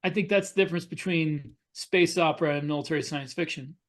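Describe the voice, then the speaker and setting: A man with a fairly high-pitched voice talks casually as a podcast co-host. He lays out his opinions in an explanatory way, as part of a loose discussion. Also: a little fast.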